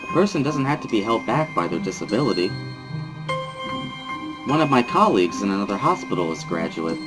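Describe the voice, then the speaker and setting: A man voicing a calm, reassuring hospital doctor in a measured, formal, placating manner for a dramatic reading. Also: fairly low pitch, over music, low-quality recording.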